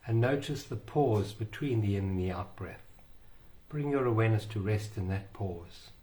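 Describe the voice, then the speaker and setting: A man guiding a meditation in a slow, soothing way with long pauses. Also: on the low side, lively intonation, dark tone, rough recording. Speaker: meditation guide. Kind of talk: guided meditation.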